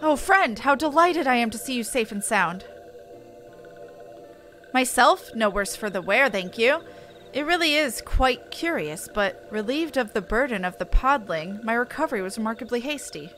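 A woman's voice: a little high, expressive, moderate pace, a bit bright, a little hiss or hum. A woman voicing a bookish, self-important young man with florid, formal phrasing in a fantasy game. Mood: earnest, pleased.

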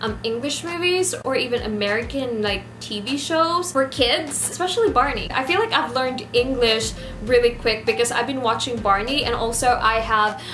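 A 27-year-old woman from the Philippines with a Filipino accent and Ilonggo as her first language, chatting casually to camera in an animated way as she lists facts. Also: fairly high pitch, moderate pace, hardly any pauses, slight background noise. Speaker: vlogger. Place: small decorated room indoors. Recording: roomy echo, music behind.

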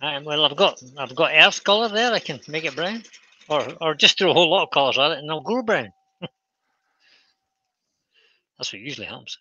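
A man with a Scottish accent hosting a live woodturning stream, chatting in a relaxed way as he works in an indoor workshop. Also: a little high, moderate pace, long pauses, dry recording, highs cut off. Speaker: woodturner host. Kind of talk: casual chat while demonstrating.

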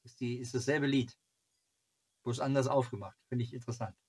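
Man, forties, mid-pitched voice. Casual home vlog host, halting delivery, muses to himself while showing items. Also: steady tone, husky. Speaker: fan club video host. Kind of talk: casual chat reviewing fan merchandise. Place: small cluttered home room, indoors.

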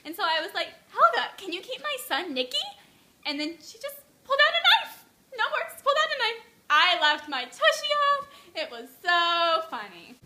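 A woman's voice: very high voice, big pitch swings, normal speed, sharp tone, full character voice. A teenage girl acting a chirpy young mother in a mock documentary interview, gushing and giggly, recorded on a camera mic indoors. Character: chirpy young mother, cheerful newcomer neighbor. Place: living room indoors.

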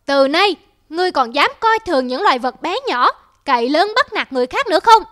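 Plucky high-pitched tiny ant. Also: female voice, lively intonation, brisk, bright, forceful tone, soft background music. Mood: defiant. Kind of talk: children's cartoon dubbing.

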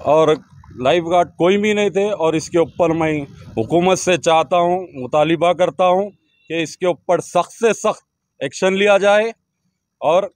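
A middle-aged man stands before a street crowd and speaks into news microphones. His voice is a little raised in pitch and sounds dry and close. He talks in a formal, emphatic way, pressing the same demands again and again. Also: measured pace, no room sound. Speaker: community spokesman. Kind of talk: public appeal to media. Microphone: cluster of press microphones.

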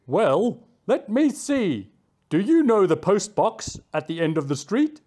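A woman voicing a loud, husky old male bird who is impatient and bossy. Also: mid-range voice, very animated, slow.

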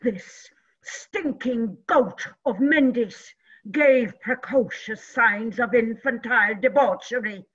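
A woman's voice: fairly high pitch, slow pace, slightly rough. A woman giving a declamatory dramatic reading on a video call, at times dropping to a whisper. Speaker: reader of a dramatic passage. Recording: dull lo-fi video call audio.

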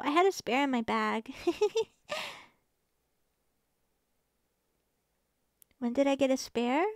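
A woman voicing a possessive, obsessive young girlfriend in an ASMR roleplay, speaking sweetly and intimately with soft whispers and a creepy edge under the doting. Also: high voice, dry recording, clean studio sound. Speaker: ASMR voice actress.

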